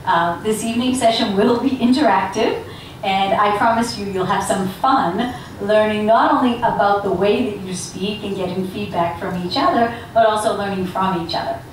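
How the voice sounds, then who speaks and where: A woman leads an engaging workshop talk and keeps turning questions back to her audience. She is recorded from well back in a large, very echoey lecture room. Steady background noise sits under her voice. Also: medium pitch, normal speed, far from the mic. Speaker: guest speaker on elevator pitches. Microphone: handheld mic from a distance.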